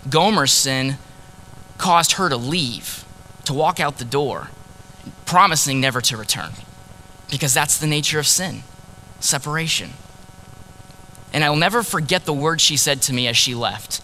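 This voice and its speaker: A man preaching in the first person as a grieving ancient prophet, earnest and sorrowful, dropping to a hush on key words. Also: medium pitch, a little hiss or hum, poor audio. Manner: earnest, sorrowful storytelling, drops to hushed tones on key words. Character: grieving ancient male prophet, heartbroken husband. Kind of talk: dramatic first-person sermon monologue.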